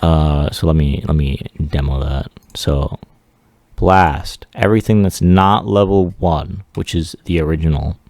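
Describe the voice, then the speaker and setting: A man giving a casual, unscripted software walkthrough as a 3D artist, rambling along in a self-deprecating way. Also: rumbling low, unhurried, no room sound. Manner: rambling, self-deprecating, chuckles at own remarks. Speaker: 3D artist presenting a demo.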